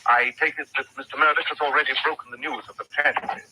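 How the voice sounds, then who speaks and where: An actor playing a brisk gentleman detective, polite but probing and commanding, in an old-time radio drama. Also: medium pitch, steady tone, close to an everyday voice. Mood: brisk, decisive. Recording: thin, noisy vintage radio recording.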